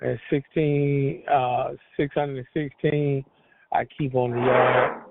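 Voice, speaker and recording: A man's voice: mid-range voice, little pitch movement, takes it slowly, vocal fry, heavy background noise. A man calling in as a small business owner, chatting casually in an informal, plainspoken, colloquial way. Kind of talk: casual phone chat. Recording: tinny narrow phone line.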